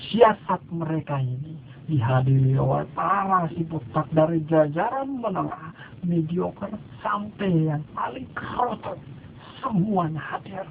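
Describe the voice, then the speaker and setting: A man's voice: on the high side, measured pace, creaky. A man narrating a satirical fable in an ironic, mock-solemn storytelling manner, with dry asides. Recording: tinny lo-fi phone-like sound with faint noise.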